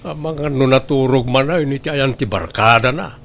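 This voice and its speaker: A man's voice: medium pitch, brisk, a bit bright, lightly acted. A man playing a stern, blunt Filipino family man in an Ilocano radio drama, speaking in a scolding, questioning way. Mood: dismissive.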